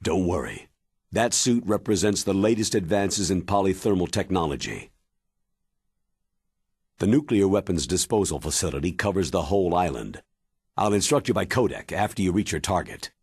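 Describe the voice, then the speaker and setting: An actor playing a stern military commander briefing an agent in a video game, grave and measured in manner. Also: male voice, low-pitched, expressive, a little mellow, no room sound, studio quality, heavily acted. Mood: instructive. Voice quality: husky, weighty. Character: stern, authoritative older military commander giving mission briefing. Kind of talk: dramatic video game cutscene acting.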